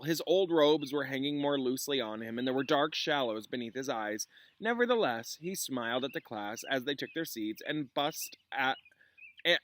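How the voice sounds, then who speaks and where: Man reading a storybook aloud, medium pitch. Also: fairly bright tone. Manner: informal, explains words for pupils. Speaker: classroom teacher reading aloud. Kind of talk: expressive storybook read-aloud with explanatory asides.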